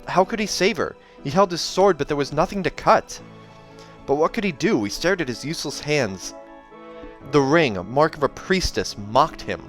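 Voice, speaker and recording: Expressive male book reader over faint music. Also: on the high side, moderate pace. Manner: expressive, dramatic. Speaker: host reading a novel aloud. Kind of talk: dramatic audiobook narration with casual asides. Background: soundtrack music.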